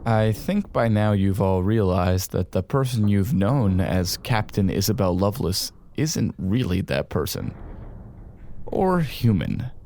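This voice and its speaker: An actor playing a cornered, calculating colonel in an audio drama, with a deliberate, theatrical, condescending way of talking. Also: male voice, fairly low pitch, animated, mellow, a little hiss or hum. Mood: grave, calculated. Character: cornered, calculating male colonel, menacing and smug.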